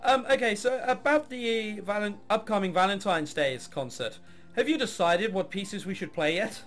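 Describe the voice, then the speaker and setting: A man's voice: sits high, expressive, normal speed, fairly bright tone, faint music behind. A man voicing a nervous, deferential orchestra musician in a visual novel, speaking hesitantly and politely.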